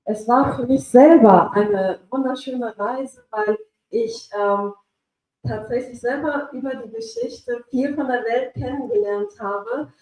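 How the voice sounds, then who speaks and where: A woman's voice: high-pitched, animated, takes it slowly, warm tone. Hesitant woman in an echoey room. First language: Pashto. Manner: hesitant, many fillers. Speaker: voice narrator of a children's story. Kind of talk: speech at a live event. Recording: echoey room, mic at a distance.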